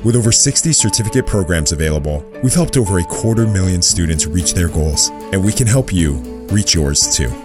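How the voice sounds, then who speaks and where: A man announcing a scripted ad in a measured, persuasive way. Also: low-pitched, expressive. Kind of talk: scripted radio ad. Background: music.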